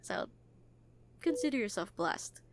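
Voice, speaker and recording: A woman's voice: medium pitch, lively intonation, measured pace, whispered. A woman playing a kind, earnest nurse in an audio roleplay, talking to a patient in a hesitant, reassuring, conversational way. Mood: shy, confiding. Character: kind, earnest, gently teasing hospital nurse comforting a patient. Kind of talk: roleplay audio acting, soft comfort talk.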